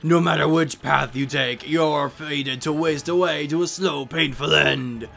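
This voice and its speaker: A man voicing a gleeful, taunting evil curse spirit from a video game, theatrical and cackling, with drawn-out insults. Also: on the high side, fairly even intonation, creaky, sharp tone, faint music behind, full character voice. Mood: mocking glee. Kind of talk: exaggerated video game villain voice-over.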